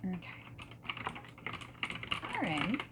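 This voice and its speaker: A woman playing a friendly, non-judgmental dating service matchmaker in a gentle, soft-spoken and whispered ASMR roleplay. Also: fairly low pitch, very animated, heavy background noise, heavily acted. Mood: gentle, businesslike. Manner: gentle, reassuring, asks questions and pauses for answers. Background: mechanical keyboard typing and clicks.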